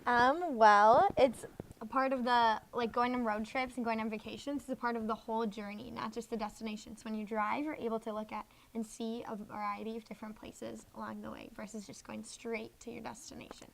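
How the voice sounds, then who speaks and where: A woman's voice: a little high, steady tone, brisk, creaky, slight background noise, poor audio. A woman from Minnesota on a staff debate panel, chatty and agreeable. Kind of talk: casual debate.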